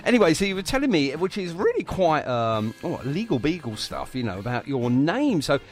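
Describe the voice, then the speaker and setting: A man's voice: on the high side, big pitch swings, fairly quick, soft background music. An English man hosting a live radio show, bantering and interviewing in an effusive, jokey, matey way.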